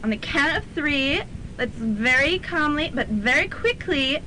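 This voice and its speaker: A woman playing an excitable, sharp-tongued young college student in a comic radio drama. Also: high-pitched, full character voice. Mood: chirpy, uneasy. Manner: animated, brisk comic delivery. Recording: slightly noisy student radio recording.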